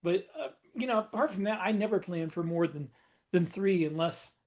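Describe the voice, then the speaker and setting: A man co-hosting a podcast chats in a relaxed way and often breaks into small laughs. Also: sits high, normal speed. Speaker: podcast co-host, author. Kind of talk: casual panel chat.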